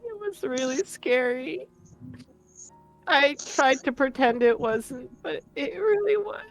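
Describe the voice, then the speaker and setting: A woman playing a tabletop roleplaying game, improvising in character in a halting, earnest way. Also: high voice, expressive, faint music behind, low-quality recording.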